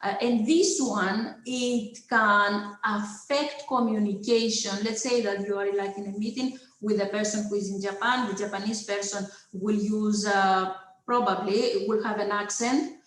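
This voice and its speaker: Greek-accented woman lecturing slowly on a video call, lots of uh and um, echoey room. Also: mid-range voice, fairly even intonation, a bit bright. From Greece. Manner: hesitant, many filler sounds, checks in with listeners. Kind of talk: online lecture.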